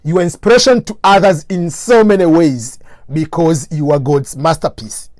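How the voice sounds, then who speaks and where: Higher-voiced Tanzanian man, motivational speaker. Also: normal speed, darkish tone, no echo, studio quality. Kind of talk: motivational talk to camera.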